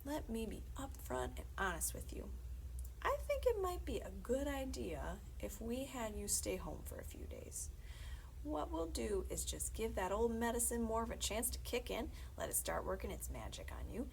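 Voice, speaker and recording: A nervous middle-aged male principal acted out by a woman reader from a storybook. He hedges and coaxes in a folksy, faintly condescending way, with swooping intonation and a gravelly creak. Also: a little high, very animated, moderate pace, slight background noise, poor audio. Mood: earnest, coaxing. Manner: hesitant, hedging, folksy, mildly condescending.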